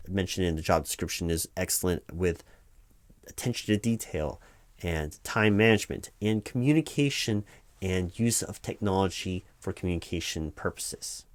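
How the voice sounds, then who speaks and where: A man playing a distracted, officious corporate hiring manager in a one-sided comedic roleplay, speaking in a hesitant, rambling, loud way. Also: sits low, dry recording, close to an everyday voice. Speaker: hiring manager conducting a job interview.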